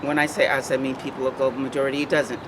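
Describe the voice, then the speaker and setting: Older adult, middle-pitched voice, emphatic off-the-cuff delivery, noticeable city noise outdoors. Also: level tone, racing. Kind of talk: impromptu personal remarks. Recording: clear outdoor urban noise.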